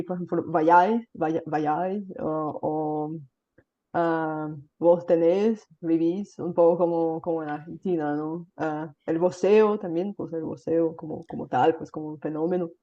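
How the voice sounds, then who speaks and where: Woman, Bulgarian native, slight Caribbean accent, warm dark tone, lo-fi recording, chatty with fillers. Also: sits low. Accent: slight Caribbean Spanish. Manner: chatty, full of fillers and tag questions. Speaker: host. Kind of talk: casual conversation.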